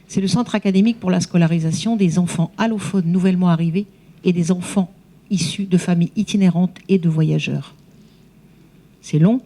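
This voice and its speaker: A woman's voice: lowish voice, mellow. A woman who works as a teacher trainer, speaking spontaneously in a seminar debate and explaining with emphatic repetition.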